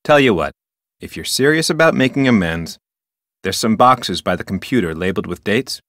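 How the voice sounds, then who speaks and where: Adventure game park ranger: lowish pitch, officious, formal and wordy, rule-bound, dry studio sound. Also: male voice, lively intonation, moderate pace, fairly bright tone, no room sound. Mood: informative, matter-of-fact. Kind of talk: scripted adventure game dialogue.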